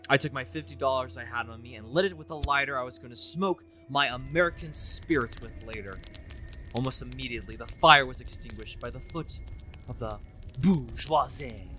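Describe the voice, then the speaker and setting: A man's voice: medium pitch, fairly quick, sharp tone, soft background music, natural voice. A man playing a smug, deluded college student who thinks he is the Joker, in a pompous, theatrical story reading. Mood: bitter, fervent. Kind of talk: dramatic first-person story reading.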